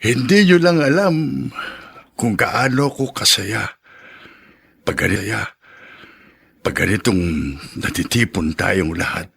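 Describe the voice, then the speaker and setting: A frail, tender old father in a radio drama. His voice is husky and swings widely in pitch as he haltingly pleads and teases, full of sentiment. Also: lively intonation, fairly bright tone, heavily acted. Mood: wistful. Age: older. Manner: halting, repeating phrases, sentimental.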